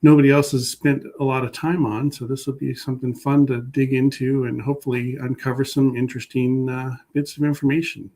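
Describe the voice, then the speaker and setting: An American man from Oregon, a guest historian explaining things casually and haltingly, often repeating words as he starts. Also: mid-range voice, flat delivery, warm tone.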